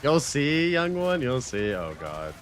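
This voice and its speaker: Thirty-something dude streaming a game, hyped and slangy, swears a lot, voice jumps all over. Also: male voice, medium pitch, unhurried, slight background noise. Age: 30s. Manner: excitable, swears, slangy, talks to chat. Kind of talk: casual live-stream chat while gaming.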